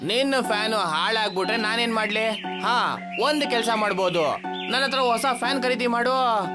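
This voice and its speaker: A man voicing a sly, dismissive shop assistant in an animated moral story. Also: very high-pitched, normal speed, over loud music. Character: sly, dismissive male shop assistant, flattering his boss.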